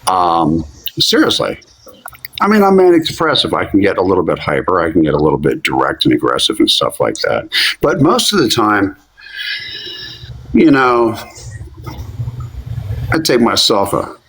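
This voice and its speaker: A man in his late fifties from Philadelphia talks frankly in a loose live-stream chat. His voice sits low and slightly rough. His pitch leaps up and drops back as he gets going. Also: big pitch swings, normal speed, long pauses, fairly bright tone, poor audio. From Philadelphia, USA. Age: 50s. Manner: candid, direct, talkative.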